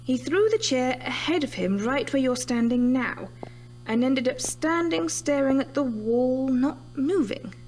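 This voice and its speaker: Female researcher, horror audio drama: high, animated, analytical, dismissive of superstition. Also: unhurried, slight background noise, poor audio. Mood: methodical. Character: brisk, sceptical female scientist reviewing a death.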